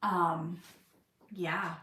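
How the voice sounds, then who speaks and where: A woman's voice: on the low side, level tone, drawn-out words, bright, forceful tone, distant mic. An actress chatting candidly in a casual Q&A, laughing easily as she answers questions. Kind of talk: casual livestream Q&A chat. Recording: roomy echo, voice from off-mic.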